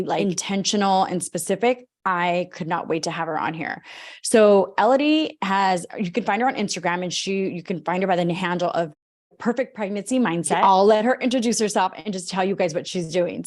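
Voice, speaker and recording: Eager woman podcast host, lowish voice. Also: darkish tone. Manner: eager, talks in long run-on sentences. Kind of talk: podcast interview, enthusiastic intro.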